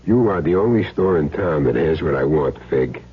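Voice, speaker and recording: A man's voice: rumbling low, expressive, moderate pace, a little hiss or hum. An actor playing a cool, terse, matter-of-fact hitman in a 1970s radio drama. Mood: businesslike. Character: Jim Derry, cool laconic hitman back in town. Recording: dull lo-fi old radio recording.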